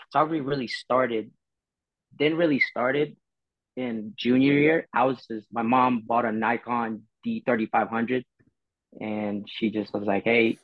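A man's voice: mid-range voice, steady tone, moderate pace, no room sound. A laid-back man in his twenties, chatting casually as a podcast guest, rambling with lots of fillers. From Philippines. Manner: easygoing, rambling, many fillers. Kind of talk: casual chat. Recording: dull dry sound, faint music.

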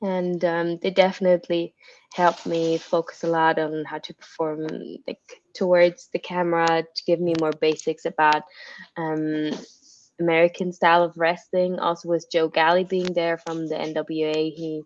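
A woman's voice: fairly low pitch, flat delivery, moderate pace, muffled recording, a little hiss or hum. A woman from Switzerland whose first language is Swiss German, answering interview questions in an upbeat, rambling way with many fillers. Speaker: interview guest, pro wrestler.

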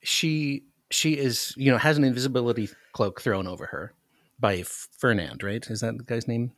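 A man's voice: mid-range voice, moderate pace, no echo, studio quality. A man guesting on a podcast, discussing books casually in a wry, emphatic way. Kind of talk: casual book discussion chat.

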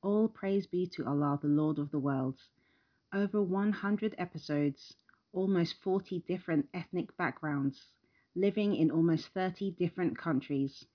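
Low-voiced woman hosting a podcast, dull audio. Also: normal speed, no room sound. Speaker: podcast host and interviewer. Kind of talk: podcast introduction and conversational interview.

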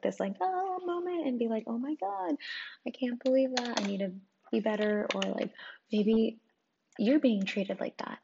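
Croaky young woman chatting candidly. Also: mid-range voice. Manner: candid, profane, filler-heavy with lots of "like". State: croaky, hoarse voice today. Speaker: podcast host. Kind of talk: casual solo podcast chat. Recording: dull lo-fi sound with clear noise.

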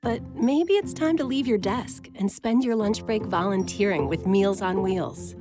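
A woman's voice: mid-range voice, measured pace. A woman reading a friendly public service ad. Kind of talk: radio public service ad read. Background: background music.

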